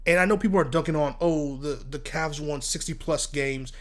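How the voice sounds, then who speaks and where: Stuffy-voiced male sports talker, slightly high, blunt, animated. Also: normal speed. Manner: blunt, animated, repeats words when worked up. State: congested from asthma and allergies. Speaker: sports commentary host. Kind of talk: casual solo sports talk.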